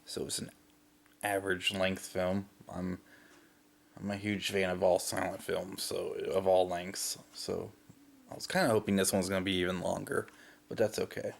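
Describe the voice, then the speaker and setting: A man's voice: sits low, level tone, moderate pace, vocal fry. A young man chatting casually in a vlog, rambling and hesitant with lots of fillers, while sick and getting over a lost voice. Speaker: vlogger.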